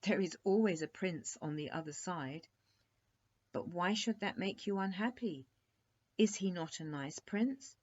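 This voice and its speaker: A woman voicing a practical, consoling queen mother in a storybook, gentle and reassuring. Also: on the low side, a little slow, husky. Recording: dull lo-fi recording.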